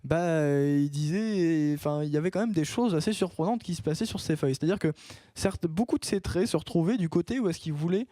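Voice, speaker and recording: A man's voice: fairly high pitch, a little fast, mellow, dry recording. A young man hosting a casual student radio show, rambling with many restarts and fillers. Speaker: radio show host and columnist.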